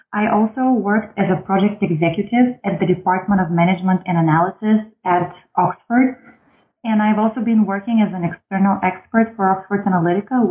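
Woman on a tinny phone line. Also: medium pitch. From Bulgaria. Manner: measured, with frequent ums and uhs. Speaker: guest author. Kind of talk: interview answers.

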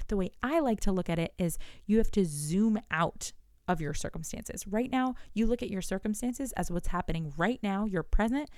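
A woman in her thirties, a business coach, talking straight to her listeners in a solo podcast and checking in with quick rhetorical questions. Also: medium pitch, dry recording, clean studio sound. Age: 30s. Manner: animated, talks to listener directly with rhetorical questions.

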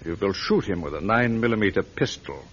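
An actor playing a scheming German intelligence major, smooth and coaxing, in a 1940s radio drama. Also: male voice, on the low side, expressive, quick pace. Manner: smooth, coaxing, quietly fanatical. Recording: dull lo-fi old radio recording.

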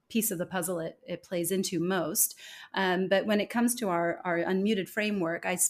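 An American woman speaking as a podcast guest, explaining ideas in an articulate, emphatic way. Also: fairly low pitch. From United States. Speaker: podcast guest, accent expert. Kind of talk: conversational interview.

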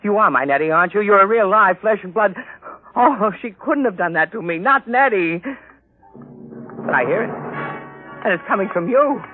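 A man's voice: sits high, animated, moderate pace, long pauses, faint music behind. An American actor playing an earnest, folksy middle-aged husband who dotes on his wife, in 1950s radio drama style. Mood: dawning horror. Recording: narrow-band vintage radio recording.

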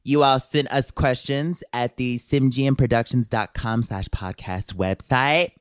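A man's voice: medium pitch, unhurried, smooth, no room sound, studio quality. A man hosting a comedy podcast, reading listener questions aloud and teasing his co-hosts in a crude, mock-exasperated way. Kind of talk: casual comedic banter.

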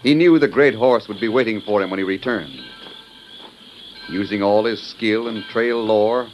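A man plays the solemn narrator of an old radio western. His voice is on the low side, with formal, theatrical announcer phrasing. The recording is muffled, with music behind him. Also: normal speed, close to an everyday voice. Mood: dramatic, suspenseful. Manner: dramatic, formal announcer delivery. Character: solemn storyteller of a western adventure serial.

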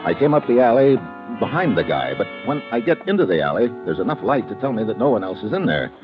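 An actor playing a tough, wisecracking American investigator in an old radio adventure, speaking in a wry, clipped, hardboiled way. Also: male voice, mid-range voice, big pitch swings, fast, natural voice. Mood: tense, hardboiled. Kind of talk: 1950s radio adventure acting and first-person narration. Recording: muffled vintage radio recording with music.

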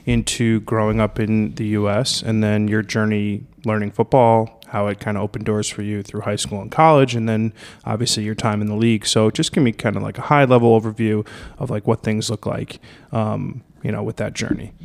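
Casting: American male interviewer, lowish voice with little pitch movement, informal and wordy podcast host. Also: flat delivery, fairly quick, vocal fry, a little mellow. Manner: wordy, informal questions padded with "like" and "kinda". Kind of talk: casual conversational interview. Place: studio.

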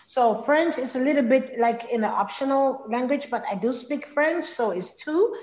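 Congolese-born woman with a higher voice. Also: lively intonation, a little mellow, reverberant, rough recording. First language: Lingala. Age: young adult. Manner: halting, repeats words while searching for phrasing. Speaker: interview guest, singer. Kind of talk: casual interview conversation.

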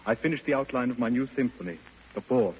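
An actor playing a weary, earnest composer in an old radio drama, speaking formally and theatrically. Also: male voice, mid-range voice, animated, quick pace, husky. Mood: grateful, earnest. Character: weary, pleading male composer, earnest and courteous. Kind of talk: 1950s radio drama acting. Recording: dull old radio recording.

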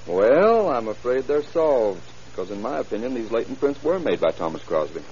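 Man as a wry, animated radio police fingerprint man. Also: medium pitch, lightly acted. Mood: matter-of-fact. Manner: wry, sardonic, drawn-out teasing. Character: wisecracking, grumbling male police fingerprint expert. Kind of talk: 1940s radio drama acting. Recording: hissy, muffled old radio recording.

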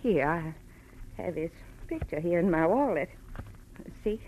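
An actress playing a gentle, lonely, worried middle-aged mother with an American accent, earnest and hesitant, in 1940s radio drama style. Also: lowish voice, expressive, unhurried, lo-fi sound. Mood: anxious, pleading. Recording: vintage radio recording, faint noise.

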